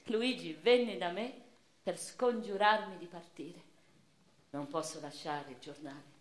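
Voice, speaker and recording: An actress playing a cultured, impassioned woman revolutionary and newspaper writer in a theatrical monologue, declamatory and literary, with deliberate pauses and emphatic swells. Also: fairly low pitch, lightly acted. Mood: intimate, tender. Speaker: stage and radio actress. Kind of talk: dramatic first-person theatrical monologue. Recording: roomy, reverberant theatrical recording.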